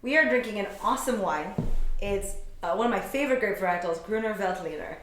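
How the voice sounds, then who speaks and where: A woman's voice: medium pitch, quick pace, slight background noise. A young adult woman from the United States, a guest chatting with enthusiasm in a casual interview. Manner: upbeat, enthusiastic. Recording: echoey room.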